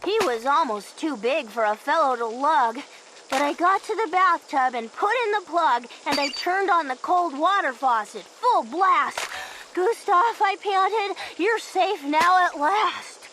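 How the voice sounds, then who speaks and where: Very high-voiced woman reading a children's story. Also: animated, a little slow, background noise. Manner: theatrical, dramatic delivery for children. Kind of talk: expressive children's picture book read-aloud.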